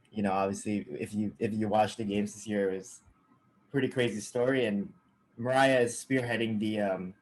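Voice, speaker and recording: Halting young man on a video call. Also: lowish voice, a little mellow, rough recording. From Saipan. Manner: halting, many fillers and restarts, laughs often. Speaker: cameraman guest. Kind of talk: casual interview over video call.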